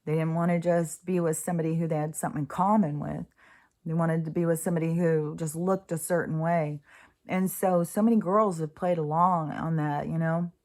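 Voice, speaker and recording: Candid woman in her sixties, lowish voice. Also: fairly even intonation, fairly quick, darkish tone. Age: 60s. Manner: candid, rambling, swears freely. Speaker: host. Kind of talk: casual spiritual monologue.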